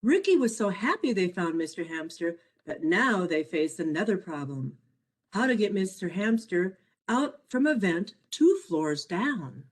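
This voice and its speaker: A woman reading her own children's picture book aloud as a storyteller, in an expressive, measured way. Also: fairly low pitch. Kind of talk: scripted storybook narration for children.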